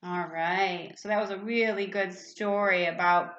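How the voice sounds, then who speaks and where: A woman's voice: medium pitch, fairly even intonation, measured pace, fairly bright tone. A woman reading a children's storybook aloud, expressively, with little asides and questions to young listeners. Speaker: teacher reading a picture book aloud. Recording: echoey room, slightly dull sound.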